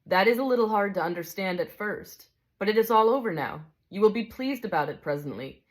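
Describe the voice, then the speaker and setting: A woman voicing a calm, joyful male spirit who speaks gently and reassuringly. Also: medium pitch, a little fast, pitched above the performer's own voice, lightly acted. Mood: serene.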